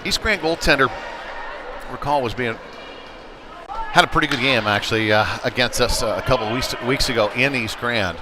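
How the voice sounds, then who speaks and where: Man calling hockey over loud rink noise. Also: medium pitch, normal speed, pauses often. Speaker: play-by-play hockey commentator. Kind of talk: live ice hockey play-by-play commentary. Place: indoor ice hockey rink. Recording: noisy live rink stream, loud background noise.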